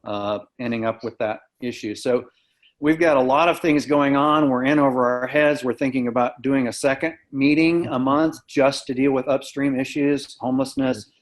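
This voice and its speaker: A man's voice: mid-range voice, fairly even intonation, moderate pace. An American man giving an informal, matter-of-fact report over an online conference call. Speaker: community task force member. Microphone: video call microphone.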